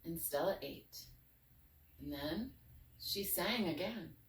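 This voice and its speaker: A woman speaks slowly and earnestly, with expressive, thoughtful pauses. She sounds like a storyteller opening up. The recording is echoey and a little distant from the mic, with some noise behind her. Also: on the low side. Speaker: fairy tale writer and storyteller. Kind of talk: heartfelt personal talk, then storybook reading.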